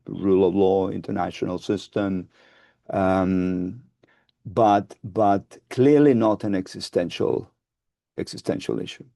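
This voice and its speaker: A Finnish man in his sixties with a Finnish accent, answering interview questions in a measured, hesitant way, seated in a studio on a lapel mic. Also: deep voice, vocal fry, mellow, no room sound. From Finland. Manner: measured, hesitant restarts, gestures with both hands. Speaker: guest, advocacy group founder. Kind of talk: webinar interview. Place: studio with dark backdrop, seated.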